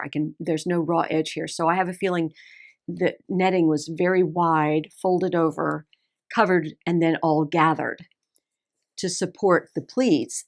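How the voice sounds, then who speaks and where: A woman's voice: fairly low pitch, gravelly. A woman with a Texas accent casually walking through a sewing alteration, admiring and carefully explaining details as she handles a dress. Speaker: seamstress host. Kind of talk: casual show-and-tell sewing video.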